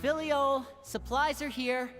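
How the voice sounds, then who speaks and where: A woman's voice: high voice, steady tone, slow pace, a bit bright, soft background music. A woman voicing a composed, tactful and playful young character in a video game, politely explaining things. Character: composed young stage magician, tactful, playful, protective of siblings.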